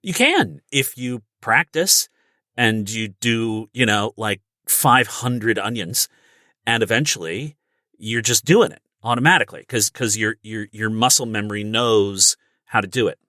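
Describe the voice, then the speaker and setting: A man's voice: fairly low pitch, a little slow, pushed and bright, no room sound, clean studio sound. A man speaking as a guest voice actor on a podcast, explaining things casually and emphatically through everyday analogies. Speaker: guest voice actor and audiobook narrator. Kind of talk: casual instructional podcast conversation.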